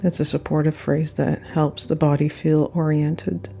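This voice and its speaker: A woman guiding a yoga nidra meditation with gentle, unhurried phrasing and frequent pauses. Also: deep voice, little pitch movement, gravelly. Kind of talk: guided meditation narration. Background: soft meditation music.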